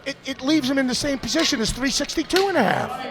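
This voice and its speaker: High-voiced blunt male sports commentator. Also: big pitch swings, fast talker, gravelly. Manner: opinionated, blunt, repeats words while thinking. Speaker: lead commentator.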